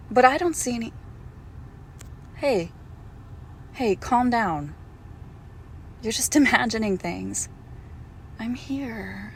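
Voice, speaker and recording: A woman plays a tender, possessive girlfriend hiding something monstrous. She speaks very slowly and softly, with plenty of fry in the voice, often sinking toward a whisper. It is an intimate roleplay audio drama with faint noise behind it. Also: fairly high pitch. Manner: slow, hushed, tender, often dropping to a whisper.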